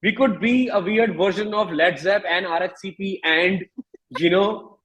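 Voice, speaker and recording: A man in his thirties with an Indian accent, speaking candidly and reflectively as an interview guest, often halting and rephrasing his thoughts. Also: sits high, fairly bright tone, breathy edge, low-quality recording. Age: 30s.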